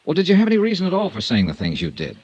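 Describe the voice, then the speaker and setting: A man's voice: fairly high pitch, huge pitch swings, very fast, darkish tone, dull audio. An actor playing a blunt, sardonic insurance investigator who narrates his own case in a 1950s radio noir drama. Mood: probing. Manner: dry, clipped, sardonic. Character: hard-boiled insurance investigator, wry and blunt. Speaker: narrator and lead detective.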